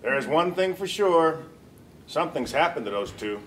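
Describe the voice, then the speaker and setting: Guy acting puzzled earnest science type, high voice, swingy delivery, spoof script read, far off mic. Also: animated, normal speed, fairly bright tone. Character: earnest puzzled male medical investigator in sci-fi spoof. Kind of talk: comedy script table read. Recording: distant mic, room noise.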